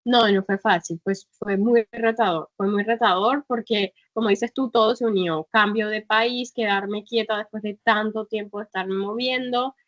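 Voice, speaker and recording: A woman's voice: mid-range voice, fairly even intonation, normal speed, hardly any pauses, a bit bright, muffled recording. A woman guest in an interview, talking reflectively with frequent fillers and restarts. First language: Spanish. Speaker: guest, travel entrepreneur. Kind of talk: conversational interview.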